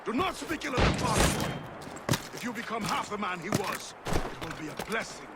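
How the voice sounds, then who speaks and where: An actor playing a proud older assassin facing death, grave and scolding, in dramatic video game style. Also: male voice, sits high, sing-song, a little fast, far from the mic, very noisy. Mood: stern, angry. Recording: loud music and action behind the voice. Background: loud dramatic score.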